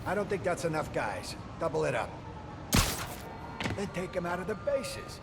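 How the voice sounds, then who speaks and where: An actor playing an impatient, threatening mob lieutenant in a video game. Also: male voice, high-pitched, sing-song, normal speed, loud music behind the voice. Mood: irritated. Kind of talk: video game cutscene acting.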